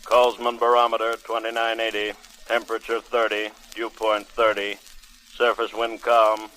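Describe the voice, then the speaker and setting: A man playing an official weather bureau radio announcer, measured and impersonal, in a 1930s film. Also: lowish voice, monotone, pushed and bright, low-quality recording. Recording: vintage film radio, faint noise.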